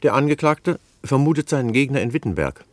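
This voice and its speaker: Guy as stern court official in radio play, formal, swingy intonation, mid pitch, no room sound. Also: very animated, brisk, dry recording, close to an everyday voice. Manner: formal, declarative. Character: stern male court official stating the accused's case. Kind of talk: scripted radio play narration.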